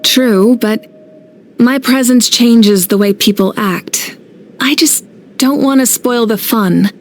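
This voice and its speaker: A woman playing a composed, gracious and humble nation leader in an anime fantasy game, speaking in a measured way. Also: fairly high pitch. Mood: earnest. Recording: faint background game music.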